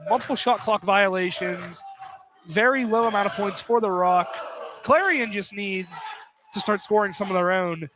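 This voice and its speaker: A man's voice: high-pitched, moderate pace. A man doing live play-by-play commentary of a basketball game from inside a field house. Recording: noisy gym with ball bounces.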